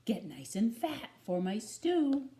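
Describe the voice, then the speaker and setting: A woman voicing a greedy, scheming male wolf in a storybook, coaxing with gleeful, drawn-out words. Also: a little high, very animated, warm tone, voice pushed up. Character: hungry scheming male wolf, greedy but sweet-talking.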